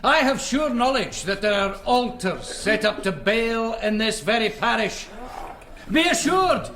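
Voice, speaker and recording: An actor playing a righteous, defiant young Scottish Presbyterian minister in a radio drama, declaiming in biblical oratory. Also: male voice, high voice, sing-song, a little slow, fairly bright tone, full character voice. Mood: stern, fervent. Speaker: preacher. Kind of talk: radio drama sermon and exhortation.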